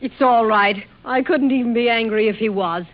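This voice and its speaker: An actress playing an emotional, high-strung young woman of the theatre in a 1940s radio drama. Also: normal speed, close to an everyday voice. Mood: earnest, apologetic. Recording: dull vintage radio broadcast recording.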